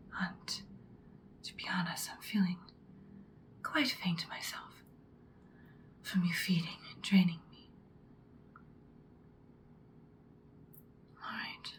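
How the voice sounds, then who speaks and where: A woman playing a commanding female vampire mentor in a whispered ASMR roleplay, speaking softly, breathily and deliberately. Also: medium pitch, a little hiss or hum, lightly acted. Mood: hushed, weary. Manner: slow, deliberate, instructing, ominous. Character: ancient-seeming female vampire mentor, commanding, once ruthless, now good.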